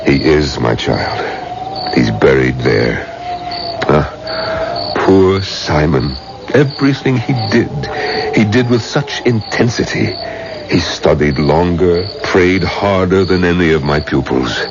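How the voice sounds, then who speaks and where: An actor playing a protective old religious teacher in a 1970s radio drama, grave and emphatic. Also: male voice, sits low, animated, takes it slowly, background noise, exaggerated voice. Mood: mournful. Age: older. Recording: muffled old radio broadcast.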